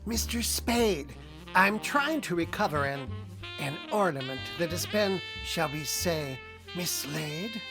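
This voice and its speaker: A woman playing a small, polite but menacing male Greek crook in a 1940s-style radio drama, speaking formally and haltingly. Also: on the low side, animated, music in the background. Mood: oily, courteous. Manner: formal, halting, fussily courteous.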